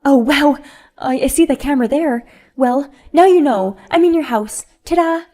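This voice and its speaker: A woman voicing a spoiled, bratty grown woman who talks in a loud, whiny, indignant way. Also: high voice, moderate pace, voice pushed up, lightly acted. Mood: gloating.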